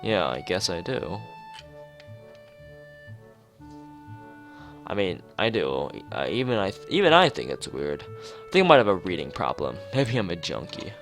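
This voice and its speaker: A man's voice: low-pitched, very animated, moderate pace, hardly any pauses, a bit bright, soft background music, full character voice. A man voicing an easygoing, sheepish, mildly self-deprecating teenage schoolboy from a visual novel. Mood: wry.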